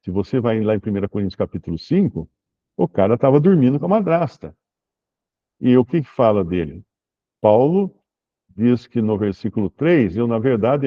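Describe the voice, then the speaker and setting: A man, leading an informal Bible study and answering questions in a relaxed, explanatory way, slowing down to read verses aloud. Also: medium pitch, muffled recording. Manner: relaxed, explanatory, reads scripture aloud slowly.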